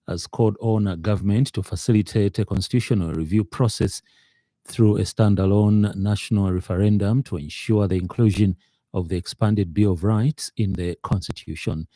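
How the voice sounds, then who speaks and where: A man's voice: on the low side, warm tone, no echo. A man with a Zambian accent reading a formal radio news bulletin in a studio as the news reader.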